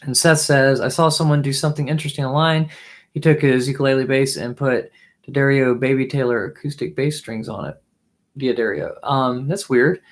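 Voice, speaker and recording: A hoarse man in his thirties, talking at a middle pitch as he hosts a relaxed live stream. He muses aloud, trails off and restarts, clearing his throat now and then. The sound comes from a webcam mic at desk distance in a small room. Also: moderate pace. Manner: musing, trailing off with restarts while playing. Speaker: livestream host and bassist. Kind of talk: casual live stream chat. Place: small home music room, indoors. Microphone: webcam mic at desk distance, wears headphones.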